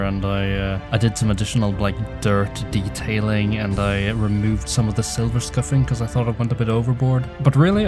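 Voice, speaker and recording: Low-pitched man chatting through a hobby project. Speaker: toy customizer hosting a hobby video. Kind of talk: casual hands-on commentary while painting. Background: background music.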